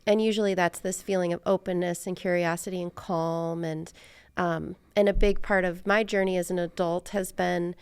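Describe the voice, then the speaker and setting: American woman podcast host with creaky voice. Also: fairly low pitch, fairly even intonation, moderate pace, darkish tone, no echo. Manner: rambling, self-deprecating. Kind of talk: casual reflective conversation.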